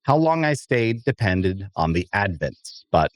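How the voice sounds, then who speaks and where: A man's voice: lowish voice, sing-song, a little slow, no room sound. A man playing a wry, observant adventurer who narrates his own fantasy story at an audio drama table read. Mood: wry, reflective.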